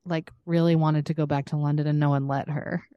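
Woman, podcast co-host, slightly low pitch, giggly, conversational, casual chat, close dry recording. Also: level tone, a little fast, clean tone, no room sound, highs cut off. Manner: giggly, laughs often while talking; conversational, frequent "like" and "you know". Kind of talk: casual pop-culture chat.

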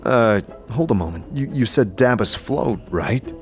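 An actor playing a puzzled, searching immortal wanderer in an audio drama, terse and questioning. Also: male voice, mid-range voice, animated, a little slow. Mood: curious, puzzled. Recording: music behind the voice.

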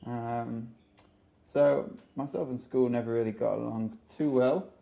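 Mellow-voiced English man telling stories. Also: medium pitch, measured pace, gravelly. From England. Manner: relaxed storytelling with frequent fillers. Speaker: guest. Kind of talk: casual interview.

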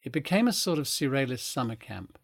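An older man tells stories from art history in measured phrases, pausing for emphasis. His voice sits at a middle-to-higher male pitch in a very dry recording. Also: sing-song, vocal fry, dry recording. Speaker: guest speaker. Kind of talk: storytelling talk on art history.

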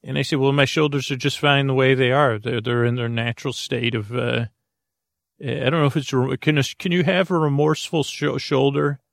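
A man's voice: medium pitch, steady tone, fairly quick, creaky, no room sound, studio quality. A man hosting a sleep podcast, talking in a meandering, self-interrupting way with wry asides. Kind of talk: rambling bedtime podcast intro.

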